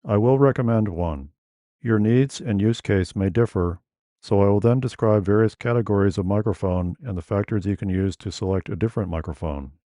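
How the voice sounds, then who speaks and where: Man, New England accent, monotone, formal scripted explainer; mellow tone, dry close recording. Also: fairly low pitch, no room sound. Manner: measured, formal, deliberate delivery. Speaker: tech educator.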